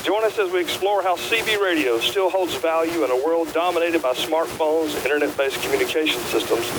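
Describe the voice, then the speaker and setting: American guy reading a podcast intro over a cb radio, lots of noise, voice a bit high. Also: a little fast. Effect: CB radio transmission. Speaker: podcast host. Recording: noisy AM CB radio transmission.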